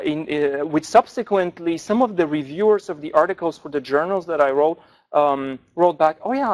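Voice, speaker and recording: A man, a language researcher, answering questions at an academic forum in a thoughtful way with frequent pauses and restarts. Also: on the high side. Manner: thoughtful, many fillers and restarts.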